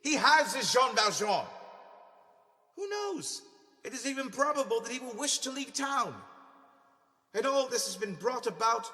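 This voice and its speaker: A tormented male ex-convict turned benefactor, acted out by a man in an audio drama monologue, speaks in a long, hall-like reverb. His voice is bright and forceful. He argues with his own guilt in theatrical, agonized questions. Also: extremely high pitch, exaggerated voice. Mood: agitated, relieved.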